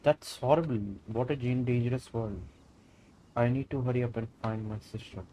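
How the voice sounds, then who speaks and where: A man's voice: mid-range voice, fairly even intonation, normal speed, background noise. A man, streaming a game, reading dialogue aloud with chatty asides. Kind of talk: casual gameplay commentary.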